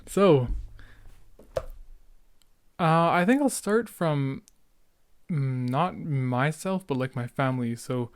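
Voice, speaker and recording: Young man with a smooth, clear voice. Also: mid-range voice, animated, takes it slowly, pauses often, dry recording. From Canada. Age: late teens, young adult. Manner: rambling, self-interrupting, youthful slang. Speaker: podcast host. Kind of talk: casual solo chat, reminiscing.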